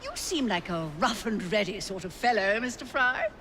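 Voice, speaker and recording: A woman's voice: on the high side, big pitch swings, normal speed, bright, forceful tone, a little hiss or hum. An actress playing a genteel, imperious and playful older Victorian lady in a video game, with an upper-class English accent and a grand, fluting manner. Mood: inquisitive, haughty. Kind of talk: theatrical period video game acting.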